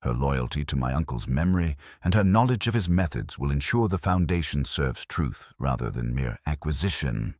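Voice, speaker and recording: A man's voice: extremely low pitch, expressive, moderate pace, hardly any pauses, a little mellow, no echo, clean studio sound. A man voicing a newly resolute young heir who talks in an earnest, formal way.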